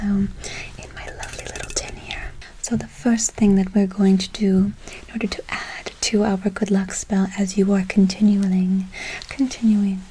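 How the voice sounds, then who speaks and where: A woman's voice: mid-range voice, warm tone, poor audio. A woman whispering an ASMR roleplay as a soothing witch, lingering on repeated words and endearments. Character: soothing witch casting a good luck spell. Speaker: ASMR performer.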